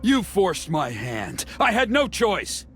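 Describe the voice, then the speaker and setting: A fanatical old elder: high, gravelly, slow and sweeping, over loud cutscene music. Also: male voice, huge pitch swings, a little hiss or hum, heavily acted. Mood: grim. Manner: fanatical, accusing. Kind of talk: video game dub.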